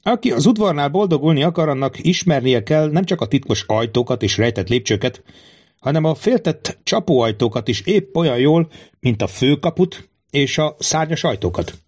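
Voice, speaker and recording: A man's voice: fairly high pitch, lively intonation, darkish tone, husky, voice pushed up. A wily king, acted out by a male narrator for a storybook. He muses rhetorically and theatrically, distrusting everyone while sounding fatherly and scheming. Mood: scheming, instructive.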